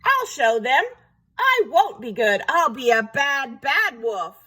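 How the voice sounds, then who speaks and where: An earnest big bad wolf from a children's storybook, acted out by a woman. The voice is husky and swings up and down a lot. He pleads politely and emphatically, easily hurt. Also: a little high, very animated, normal speed. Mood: upset, indignant.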